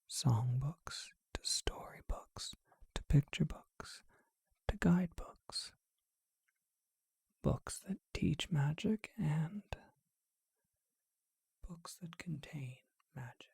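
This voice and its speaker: A charming, ageless male vampire played by an ASMR performer speaks in a breathy whisper, close and dry. He is a courtly, teasing bookshop keeper who places each phrase slowly and deliberately. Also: medium pitch, lively intonation, darkish tone, no echo, heavily acted. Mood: courtly, inviting. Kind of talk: ASMR roleplay.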